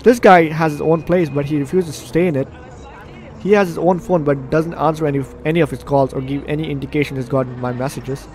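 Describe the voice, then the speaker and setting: Higher-voiced man doing game commentary. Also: steady tone, fast talker, darkish tone, slight background noise. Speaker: video game streamer. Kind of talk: let's play commentary, reading game text aloud. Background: game music.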